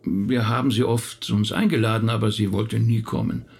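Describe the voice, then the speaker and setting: Husky, gravelly middle-aged rabbi. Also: male voice, lowish voice, steady tone, normal speed. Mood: candid, reminiscing. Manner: hesitant, reflective, talkative. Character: thoughtful male rabbi recounting his late relative's life. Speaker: actor. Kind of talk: naturalistic radio drama dialogue.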